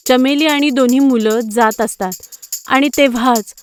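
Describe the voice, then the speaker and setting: A woman's voice: sits high, normal speed, over music. A woman narrating a scripted animal fable as a storyteller.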